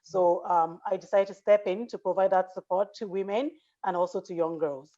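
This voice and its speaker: A woman's voice: medium pitch, steady tone, moderate pace, mellow, lo-fi sound. A woman guest and founder telling her story earnestly, with many filler words. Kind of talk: personal story in an interview.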